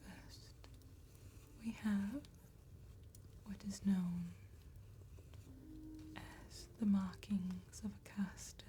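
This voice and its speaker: Very slow whispering genteel vampire played by a woman. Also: medium pitch, fairly even intonation. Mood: hushed, mysterious. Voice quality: soft, breathy whisper. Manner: slow, deliberate, formal phrasing with pauses. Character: genteel female vampire tattooist, elegant and courteous. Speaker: ASMR roleplay performer. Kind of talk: soft-spoken whispered ASMR roleplay.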